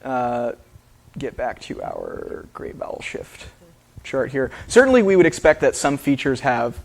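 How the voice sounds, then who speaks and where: A man's voice: medium pitch, very animated, unhurried, creaky, a little hiss or hum. A man who is a linguist, answering audience questions after a talk in a theater, casual with many fillers. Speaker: speaker, linguist. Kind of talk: lecture Q&A answers.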